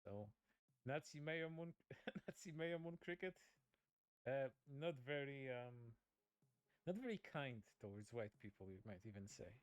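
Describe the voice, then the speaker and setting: A man's voice: medium pitch, unhurried, clean tone, no room sound. A man narrating a commentary video, reading out posts in a dry, sardonic way with some "uh" fillers. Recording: video audio played on stream with faint music. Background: faint background music.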